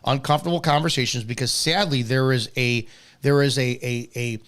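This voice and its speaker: A man's voice: mid-range voice, fairly even intonation, brisk, a bit bright. An American man hosting a live talk show in a blunt, profane conversational manner. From United States. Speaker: host of a law enforcement talk show.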